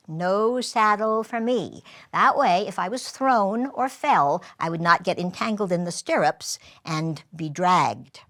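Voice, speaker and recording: A woman storyteller telling a first-person tale in a deliberate, expressive way with dramatic pauses. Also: medium pitch.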